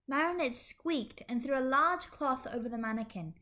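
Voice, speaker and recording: A woman's voice: high-pitched, moderate pace, bright, forceful tone. A woman narrating fan fiction expressively as a podfic reader.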